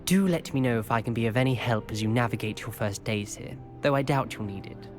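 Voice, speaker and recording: A man playing a polite, measured teenage wizard with dry amusement in a video game. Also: medium pitch, music in the background, lightly acted. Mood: welcoming. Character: wry, courteous teenage schoolboy wizard from old family. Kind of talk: scripted video game dialogue.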